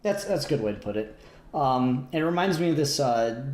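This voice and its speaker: A man's voice: medium pitch, animated, moderate pace, darkish tone. A man hosting a casual comic book discussion podcast, informal and joking, with some swearing. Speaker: podcast host. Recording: roomy, echoey voice pickup.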